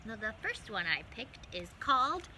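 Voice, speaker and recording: A woman hosting story time for young children, reading aloud in an animated, encouraging way with simple phrasing. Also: on the high side, moderate pace, slight background noise, rough recording.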